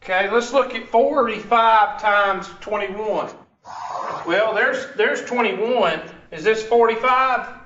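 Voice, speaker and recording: Guy teaching math to a class, slow, high-ish voice, roomy echo, sounds far from the mic. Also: sharp tone, highs cut off. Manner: questioning, working problems aloud. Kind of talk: classroom lesson.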